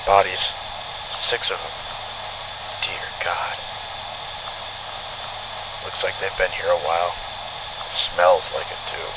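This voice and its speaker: A man acting as a steady, stubborn park ranger in a horror drama, matter-of-fact and insistent. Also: sits low, slow pace, pauses often, heavy background noise. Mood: grim.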